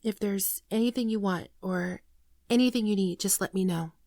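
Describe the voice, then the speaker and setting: A woman playing a gentle, naive shapeshifting alien in an ASMR roleplay, speaking softly, hesitantly and tenderly, often near a whisper, close to the microphone. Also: mid-range voice, moderate pace, dry recording, natural voice. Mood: grateful. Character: gentle shapeshifting female alien, naive, earnest, shyly affectionate. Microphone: close microphone, dry sound.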